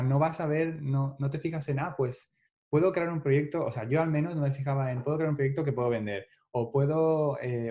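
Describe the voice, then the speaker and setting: Mellow-voiced man, thoughtful. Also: medium pitch. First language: Spanish. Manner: reflective, restarts phrases often, laughs lightly. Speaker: guest developer. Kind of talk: casual podcast interview. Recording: dull lo-fi podcast audio.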